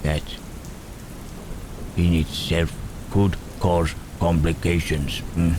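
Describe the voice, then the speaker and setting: A man voicing Frankenstein's monster, a gentle, brooding, long-lived creature, in halting, musing phrases for an ASMR roleplay drama. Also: sits low, noisy background. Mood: cautious, deliberating. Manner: halting, deliberate phrasing with musing questioning murmurs. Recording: steady rain and thunder sound effects. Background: rain and thunderstorm ambience.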